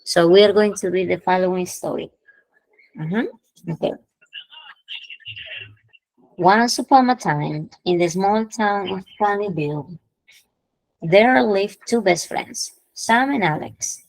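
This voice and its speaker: Gravelly-voiced woman teaching English. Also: medium pitch, a little mellow, dry recording, rough recording. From Peru. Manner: explains slowly, repeats phrases, checks in with students. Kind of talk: online class, switching between English and Spanish explanations.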